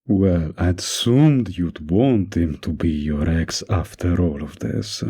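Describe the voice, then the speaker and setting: A man playing a mafia boss in an intimate roleplay audio, menacing yet kindly, with an unhurried, lightly teasing, flirtatious manner and a gravelly, husky voice. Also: low voice, warm tone, full character voice. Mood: firm, reassuring.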